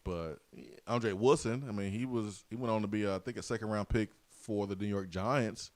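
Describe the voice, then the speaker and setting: Sports radio host with a strained voice. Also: male voice, lowish voice, moderate pace, a little mellow, dry recording. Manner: loose, rambling, many fillers. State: weak, strained voice. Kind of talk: casual radio talk and ad reads.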